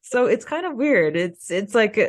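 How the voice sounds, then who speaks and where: A woman's voice: on the high side, sing-song, unhurried, dry recording. A woman co-hosting a podcast in a casual, chatty style. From Canada. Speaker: podcast co-host.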